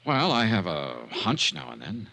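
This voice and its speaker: An actor playing a cool, wry American insurance investigator who narrates a 1950s radio mystery in a clipped, commanding manner. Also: male voice, fairly low pitch, huge pitch swings, measured pace, vocal fry, bright, forceful tone, full character voice, poor audio. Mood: gentle. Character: hard-boiled male insurance investigator, cool, wry, sure of himself. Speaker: lead and narrator.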